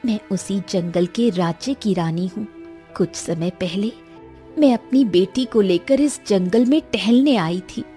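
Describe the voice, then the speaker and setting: A woman plays a mystical forest queen and devoted mother in a children's storybook tale. She speaks slowly, earnest and gentle, with music playing behind her. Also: medium pitch, natural voice. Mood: solemn.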